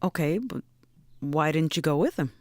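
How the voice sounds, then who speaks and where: Actress doing a blunt loyal girl buddy in a podcast drama, deep voice, slangy, dry close sound. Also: animated, moderate pace, darkish tone, no room sound. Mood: blunt, supportive. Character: blunt, loyal, streetwise female best friend. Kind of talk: naturalistic audio drama acting.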